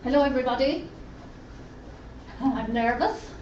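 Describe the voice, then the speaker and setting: Woman, interpreter voice, fairly high, distant dull recording with faint hiss. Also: fairly even intonation, unhurried, a little mellow. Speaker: sign language interpreter voicing a deaf presenter. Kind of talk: first-person interpreted presentation. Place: conference room at a roundtable event. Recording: roomy, distant, dull lo-fi sound with faint noise.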